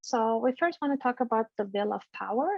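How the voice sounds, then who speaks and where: A woman with a fairly high voice introduces a workshop exercise in a brisk way, with a few fillers. The sound is dry and somewhat dull, like a lo-fi call mic. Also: vocal fry, no echo. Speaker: workshop facilitator. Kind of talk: presenting a workshop.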